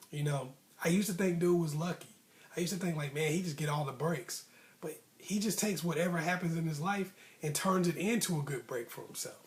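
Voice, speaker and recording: A man with a slightly high voice tells a personal story casually, like a vlogger talking to followers. His phrasing is colloquial and slangy, and he repeats lines to drive a motivational point home.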